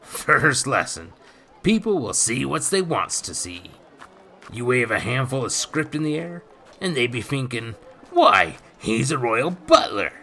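A man doing a cocky gravelly rogue thief. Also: medium pitch, very animated, measured pace, pushed and bright, slight background noise, pitched above the performer's own voice, exaggerated voice. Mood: swaggering. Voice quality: gravelly, swaggering, ungrammatical dialect. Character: roguish scheming male thief, cocky, adult.

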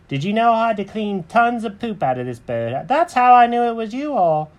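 A man's voice: high voice, sing-song, moderate pace, pitched above the performer's own voice. A man voicing a chatty, vain male cat in a children's story, full of dramatic questions and exclamations. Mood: indignant.

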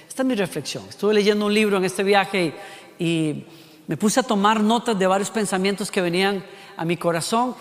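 High-voiced Costa Rican preacher in a hall. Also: male voice. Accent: Costa Rican Spanish. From Costa Rica. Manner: animated, rhetorical questions, acts out voices. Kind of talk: sermon.

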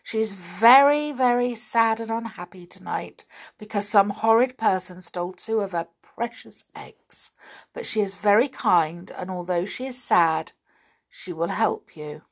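Tiny storybook pixie, soft and coaxing, slow; a woman puts it on. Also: mid-range voice. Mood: gentle. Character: small fairy pixie, gentle storyteller.

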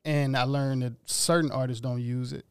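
Casting: relaxed, slangy male musician, gravelly edge, close dry sound. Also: mid-range voice, normal speed, no echo. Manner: laid-back, rambling, slangy. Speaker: musician guest. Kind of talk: casual interview talk.

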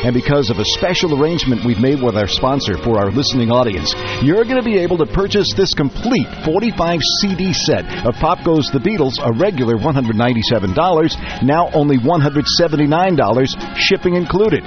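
Male announcer for a radio ad, salesy and upbeat, slightly raised pitch, dull lo-fi sound with clear music underneath. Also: expressive, brisk, few pauses. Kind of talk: scripted ad read.

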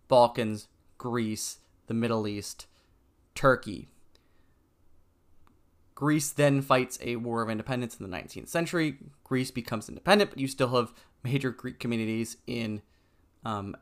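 Guy doing a solo podcast, clear smooth voice, lots of ums, easygoing. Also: mid-range voice, fairly bright tone. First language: English. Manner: conversational, frequent ums, stumbles over foreign names. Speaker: podcast host. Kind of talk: solo educational podcast talk.

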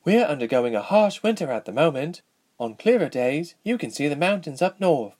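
A petite young princess, acted by a male narrator in a fantasy storybook. She speaks in a raised, swooping pitch. Her manner is earnest and emphatic, with an impatient, exasperated edge. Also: sing-song, normal speed, a little mellow. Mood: matter-of-fact.

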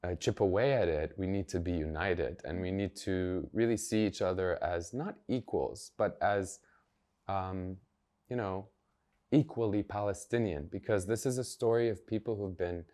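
A man with a low, deep voice talks through his views as an interview guest. He is earnest and emphatic, working out his thoughts aloud in a conversational way. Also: moderate pace, dark tone. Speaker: journalist and activist guest.

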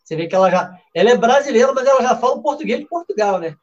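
A man's voice: extremely high pitch, lively intonation, very fast, dull audio. A man hosting a football betting show in a casual, chatty way, often restarting his sentences. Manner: chatty, with many restarts and fillers. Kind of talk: casual live show talk.

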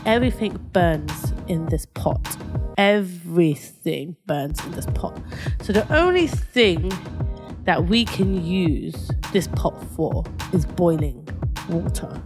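A woman co-hosting a casual podcast, telling stories in an animated way and laughing often. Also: lowish voice, slow pace, over music. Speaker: podcast co-host. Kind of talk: casual chat.

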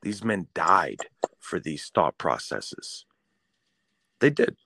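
A man with a very deep voice who swings widely up and down as he rants. He talks in a fired-up, swearing style on a casual podcast. The sound is dry and close to the mic. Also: sing-song, slow pace, creaky, sharp tone, dry recording. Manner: fired-up, ranting, profane. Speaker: podcast co-host.

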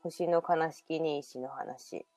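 A woman's voice: low-pitched, steady tone, takes it slowly, mellow, no room sound, soft background music. Woman playing a girl with past-life memories. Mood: mysterious. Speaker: radio drama performer. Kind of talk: amateur radio drama acting.

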